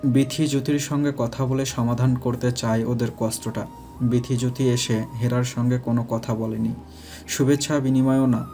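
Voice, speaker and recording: A man's voice: medium pitch, flat delivery, normal speed, warm tone. A man narrating a scripted romantic family story as the narrator. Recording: faint music behind.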